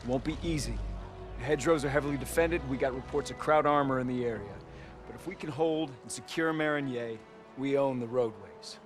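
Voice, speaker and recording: An actor playing a protective army lieutenant giving his squad a firm, steady briefing, with loud music underneath. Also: medium pitch, brisk, natural voice.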